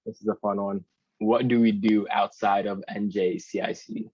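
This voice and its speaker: Reflective young man, low voice. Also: level tone, normal speed, a little mellow, slightly rough, no room sound, muffled recording. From northern New Jersey. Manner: reflective, hesitant, filler-heavy. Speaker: podcast co-host. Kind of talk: casual chat.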